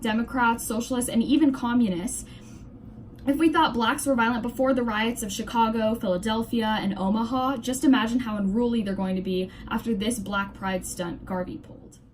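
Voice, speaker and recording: A woman's voice: fairly high pitch, steady tone, moderate pace, slight background noise. A young woman reading a scripted news commentary aloud with scornful opinions. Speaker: presenter of a student-made 1920s-style news report.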